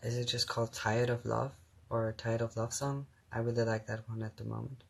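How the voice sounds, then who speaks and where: A young man from Germany, a native German speaker with a mixed English accent, chatting casually on a phone live stream as he answers viewer comments with lots of soft giggles and hesitant fillers. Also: mid-range voice, little pitch movement, brisk, gravelly. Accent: mixed English, not German-sounding.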